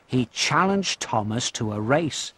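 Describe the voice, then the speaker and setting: A man narrating a children's storybook game in a deliberate, expressive storytelling style, as scripted narration. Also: medium pitch, background noise. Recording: music playing behind the voice. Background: background music.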